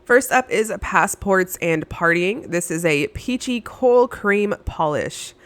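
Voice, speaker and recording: A woman's voice: on the low side, clear, resonant tone, dry recording. A woman with a nasal, stuffy voice from being under the weather, enthusiastically reviewing nail polishes in a voice-over with frequent "uh"s. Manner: enthusiastic, gushing, with frequent fillers.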